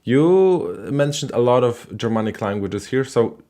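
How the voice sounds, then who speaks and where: A man hosting a live call-in language show, casual and halting, with many fillers and restarts. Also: medium pitch, lively intonation, warm tone.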